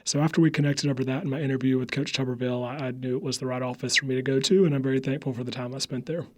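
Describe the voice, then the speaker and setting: A man's voice: medium pitch, steady tone, racing. A young man from upstate South Carolina with a Southern accent, speaking earnestly as an interview guest, with occasional fillers and stammers. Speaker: guest, think tank media staffer. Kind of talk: conversational interview.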